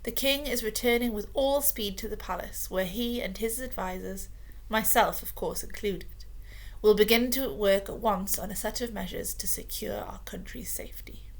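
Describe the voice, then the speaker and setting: Reader doing a scheming male courtier for a storybook, oily and low, slow and emphatic, gravelly edge. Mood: confiding. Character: scheming, smooth-talking male royal adviser.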